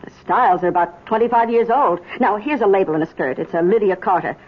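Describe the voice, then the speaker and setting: An actress playing a respectful, methodical police sergeant in an old-time radio drama, husky and matter-of-fact. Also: female voice, mid-range voice, fast, natural voice. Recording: muffled old radio recording.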